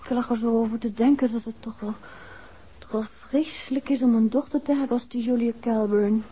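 An actress playing a detective's wife at home, talking in a domestic way in a 1960s radio drama. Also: female voice, a little high, fairly even intonation, fairly quick, dark tone, breathy edge. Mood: sleepless, pensive. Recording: muffled vintage radio recording.

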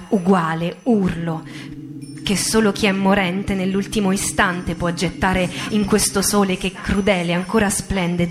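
A woman recites poetry as radio theatre, in a solemn, deliberate way with dramatic pauses. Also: on the low side. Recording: music under the voice. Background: music.

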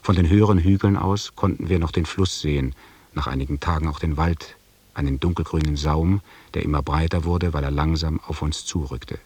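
Deep, husky, reserved army lieutenant. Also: male voice, darkish tone. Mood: reserved, sober. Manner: formal, restrained, measured. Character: correct, reserved lieutenant narrating an expedition. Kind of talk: German radio drama acting and narration.

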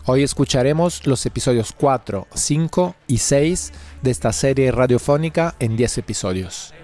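A man's voice: mid-range voice, expressive, normal speed, darkish tone. A man hosting a radio programme, reading scripted introductions and announcements. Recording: music bed behind.

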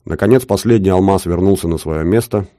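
A man reading a first-person story aloud in a measured, wry storytelling manner. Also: low voice, dark tone, no echo, clean studio sound. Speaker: audiobook narrator. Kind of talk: first-person audiobook narration.

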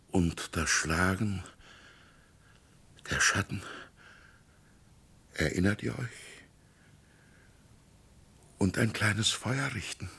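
An old, lonely country pastor in a 1960s radio play. His voice is gravelly and very breathy, with melody that rises and falls widely. He muses haltingly and poetically, full of wistfulness. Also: on the low side, exaggerated voice. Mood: pleading, wistful. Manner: musing, halting, poetic delivery. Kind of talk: 1960s German radio drama acting. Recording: 1960s radio drama recording.

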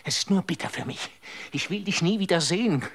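An actor playing a grieving, frightened young man who whispers and then breaks into anguished shouts in a radio drama. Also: high-pitched, lively intonation, a little fast, exaggerated voice. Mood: bitter.

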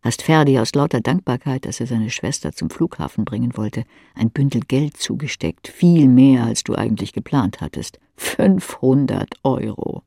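A woman's voice: very deep voice, animated, moderate pace, creaky, few pauses, warm tone, breathy edge, no echo. Woman narrator, very close dry sound. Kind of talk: scripted literary second-person narration, audio drama.

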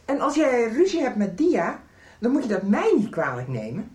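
An actress playing a hurried, impatient young woman in a radio soap, firm and urging. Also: on the high side, wildly swooping pitch, darkish tone.

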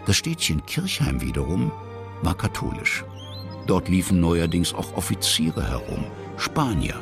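A man narrating a historical radio play for children as a measured, explanatory storyteller. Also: wildly swooping pitch, over music, heavily acted. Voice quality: very deep, gravelly.

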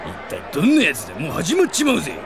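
A man acting a brash, hot-headed idol superfan in a Japanese comedy audio drama, with boisterous, theatrical delivery and rough slangy speech. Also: on the high side, huge pitch swings, racing, music in the background, crowd noise. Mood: bustling, smug.

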